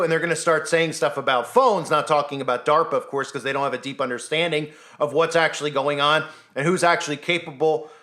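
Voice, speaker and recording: A man speaking alone into his mic as host of his own commentary channel. His voice sits a little high for a man. He talks in an animated, skeptical way and keeps firing rhetorical questions at the listener. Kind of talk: solo news commentary monologue.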